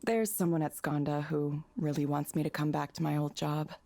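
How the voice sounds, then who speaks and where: A woman's voice: deep voice, steady tone, moderate pace, mellow, no echo. A woman playing a modest, guarded woman in a visual novel, with natural, understated delivery. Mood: guarded, apologetic. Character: reserved, modest, guarded woman, former tech researcher. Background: game music.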